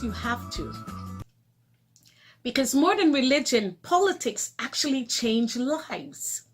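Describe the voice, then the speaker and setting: Earnest woman host with a sore throat. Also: a little high. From Guyana. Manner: earnest, urging, conversational. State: sore throat, lozenge in mouth. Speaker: show host. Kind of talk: direct-to-camera talk.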